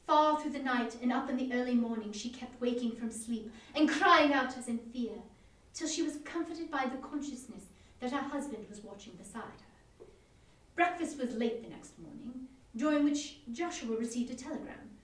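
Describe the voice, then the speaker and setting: A woman narrates a story in a dramatic reading. Her voice is high and very animated. The recording is roomy. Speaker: reader at a live online performance. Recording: roomy, distant from the mic.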